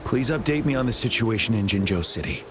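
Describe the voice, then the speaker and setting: A man's voice: fairly low pitch, lively intonation, fast talker, heavy background noise, natural voice. Resolute military commander played by a man, wide-ranging. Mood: grave, determined. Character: resolute male military commander, dutiful and protective. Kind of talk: dramatic game cutscene acting. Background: music.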